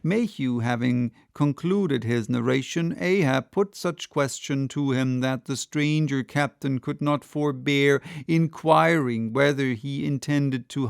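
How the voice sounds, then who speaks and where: A man reading classic literature as an audiobook narrator, with measured, dramatic storytelling. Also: mid-range voice, creaky, clean tone, no room sound, clean studio sound.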